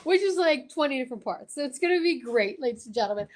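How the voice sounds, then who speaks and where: A woman with a fairly high voice co-hosts a live show. She talks in excited, casual banter and gushes theatrically, with showy exclamations as she addresses her audience. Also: fast. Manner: theatrical, gushing, sing-song exclamations.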